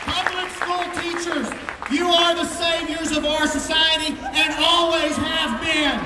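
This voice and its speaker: An American man delivers impassioned political oratory as a school superintendent at an outdoor rally, declaiming emphatically and projecting loudly with dramatic phrasing. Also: extremely high pitch, flat delivery, a little slow. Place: outdoors on capitol steps before a large crowd. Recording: echoey outdoor PA, distant mic, noisy crowd. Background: loud crowd and ambient noise.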